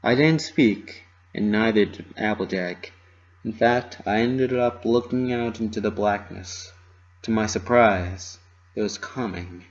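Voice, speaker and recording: A man's voice: on the low side, measured pace, dull audio. A man giving a dramatic reading of a story, narrating in the first person. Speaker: amateur fan fiction reader.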